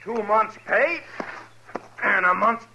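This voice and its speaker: An actor playing a defiant, distrustful American soldier in a 1950s radio western, terse and sardonic. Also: male voice, high voice, animated, slow pace, vocal fry, bright, forceful tone, full character voice. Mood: bitter. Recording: muffled, hissy vintage radio recording.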